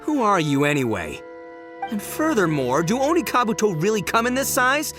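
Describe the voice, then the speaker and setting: A man's voice: sits high, huge pitch swings, moderate pace, music in the background. A man voicing a brash, boastful young beetle-fighting champion in a video game, slangy and boisterous, laughing often. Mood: animated.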